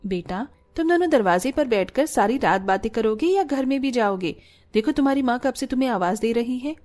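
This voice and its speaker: A woman's voice: on the high side, lively intonation, brisk, darkish tone, soft background music, close to an everyday voice. A woman voicing a kindly, reasoning older family woman in a cartoon story. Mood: gently chiding.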